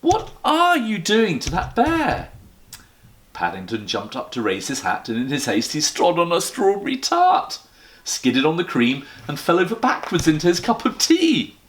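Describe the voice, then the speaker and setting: A man's voice: on the high side, very animated, moderate pace, slight background noise. A man, a headmaster, reading a children's story expressively and addressing the listeners directly.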